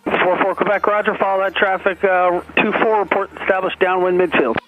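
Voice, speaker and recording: A man working as an air traffic controller, giving clipped radio instructions with the odd relaxed aside. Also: sits high, a little fast, phone-quality sound, over music.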